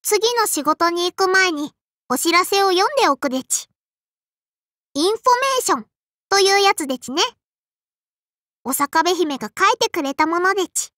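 A little sparrow girl who runs an inn and wields a sword in an anime mobile game. Her voice is extremely high, bright and childlike, with a soft lisp. She speaks politely and primly, strict and dutiful, in a dry, close recording. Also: lively intonation, normal speed, long pauses, dry recording, exaggerated voice. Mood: prim, cheerful. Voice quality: childlike, lisping. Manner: polite and prim, with a cutesy verbal tic. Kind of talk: Japanese anime mobile game character voice lines.

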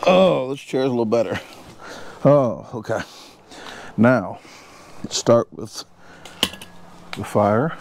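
A man's voice: mid-range voice, animated, slow pace, creaky, pauses often, a little mellow, a little hiss or hum. A man chatting casually while cooking at an outdoor campsite, cracking dry jokes between fillers. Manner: joking asides, rambling fillers. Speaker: outdoors vlogger. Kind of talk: casual camp cooking chat.

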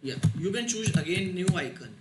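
A man giving a step-by-step software tutorial in short, halting phrases with non-native phrasing. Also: high-pitched, sing-song. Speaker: tutorial instructor. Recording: roomy echo, loud noise, clicks.

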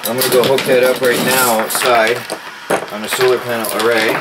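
A man's voice: mid-range voice, little pitch movement, a little fast. A man in his forties giving a casual hands-on DIY vlog demonstration, talking half to himself in short phrases as he works. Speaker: DIY homestead vlogger. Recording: noisy amateur camera sound with clicks.

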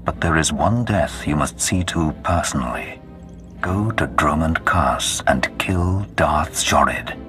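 Super deep menacing sith lord, pompous game villain, music underneath. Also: male voice, expressive, fairly bright tone, heavily acted. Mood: imperious. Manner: pompous, commanding, deliberate. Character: menacing, imperious male Sith lord seeking to rule. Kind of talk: dramatic video game voice acting.

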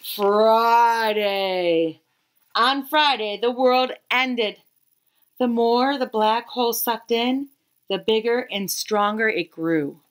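A woman in her thirties reading a children's picture book aloud expressively, with dramatic pauses and emphasis. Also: fairly high pitch, smooth. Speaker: read-aloud storyteller.

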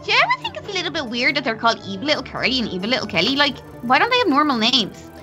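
A woman's voice: high-pitched, huge pitch swings, racing, pushed and bright, faint music behind, heavily acted. A woman playing a fretful, dramatic young princess sister in a children's game roleplay. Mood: curious, chatty. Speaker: roleplay channel performer.

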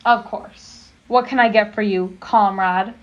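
An actress playing a sarcastic, mocking server on a train diner car in a comedic radio play. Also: female voice, medium pitch, fairly even intonation, measured pace, muffled recording, natural voice.

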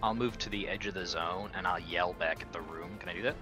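A man's voice: sits low, a little fast, music in the background. A man chatting informally as a player in a tabletop game, thinking aloud about his moves. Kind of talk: casual game-table chat.

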